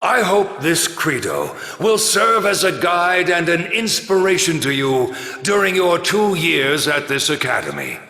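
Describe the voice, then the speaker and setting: A stately elderly academy principal, echoing. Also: male voice, on the high side, huge pitch swings, sharp tone, exaggerated voice. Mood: solemn, stately. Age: older. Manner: stately, measured, oratorical. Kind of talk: formal ceremonial speech, game voice acting. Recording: echoey hall with long reverb.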